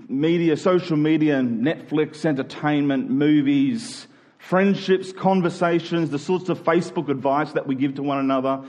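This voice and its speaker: A man's voice: a little high, darkish tone. A man with an Australian accent preaching a conversational sermon, explaining step by step and leaning on key phrases. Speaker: pastor.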